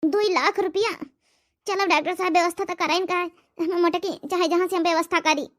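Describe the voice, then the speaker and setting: A woman's voice: extremely high pitch, steady tone, fairly quick, a bit bright. A woman with an Awadhi accent playing a worried, devoted elderly village mother-in-law in a cartoon comedy. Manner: fretful, pleading.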